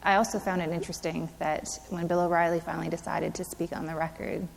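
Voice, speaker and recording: A woman's voice: on the low side, little pitch movement, fast talker, creaky. A woman interviewer asking direct, brief questions. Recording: reverberant hall with faint noise.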